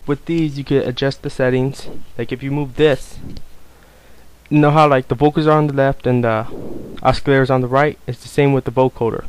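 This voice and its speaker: A man giving a casual, unscripted software tutorial, explaining things informally step by step. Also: medium pitch, steady tone, brisk, smooth, no room sound, poor audio. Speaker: tutorial presenter.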